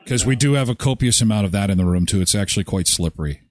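A man's voice: fairly low pitch, fast talker, darkish tone, slight background noise, pitched below the performer's own voice, lightly acted. A man playing a polite, officious hotel clerk for a prank call, straight-faced, with hesitant phrasing.

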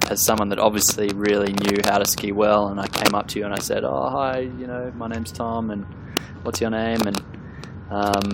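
Male interviewer, low monotone, relaxed and halting, audible noise behind. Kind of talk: casual interview.

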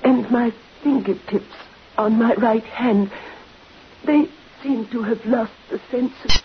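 An actress playing a gentle, ailing, dutiful daughter in a vintage radio drama, subdued and halting, on a muffled recording. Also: female voice, a little high, a little hiss or hum. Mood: anxious, frail. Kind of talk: 1950s radio acting.

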